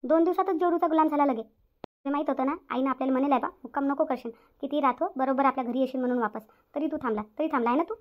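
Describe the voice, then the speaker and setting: Woman acting a high-pitched, nagging village mother-in-law. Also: racing, natural voice. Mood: scolding, bitter. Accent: Vidarbha Marathi. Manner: nagging, repetitive rhetorical questions. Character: stern middle-aged village mother-in-law, possessive, scolding and self-pitying. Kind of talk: melodramatic cartoon family drama. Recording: dull lo-fi audio.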